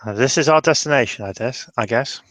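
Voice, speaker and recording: A man's voice: medium pitch, brisk, a bit bright, no room sound, natural voice. A man playing a wry, reluctant fey-blooded mage who grumbles and rambles through improvised tabletop roleplay. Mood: reluctant, grumbling.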